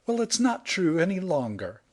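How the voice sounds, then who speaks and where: A man reading aloud and voicing an emotional young woman, trapped by the tide and later indignant, in a high, strained voice. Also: big pitch swings, moderate pace, a little mellow. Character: anxious excitable young woman in peril.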